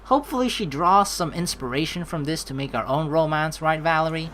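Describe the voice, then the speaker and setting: A woman's voice: sits low, brisk, fairly bright tone, a little hiss or hum. A woman doing chatty let's play commentary, reading game lines aloud expressively. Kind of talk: casual gameplay commentary and script reading.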